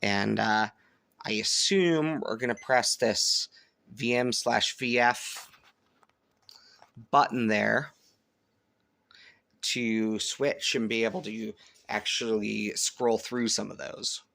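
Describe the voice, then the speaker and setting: A man giving a casual hands-on product review, thinking aloud in a halting way. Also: fairly low pitch, gravelly, bright, forceful tone. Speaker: host of a shortwave radio review channel. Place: indoors at home, upstairs room.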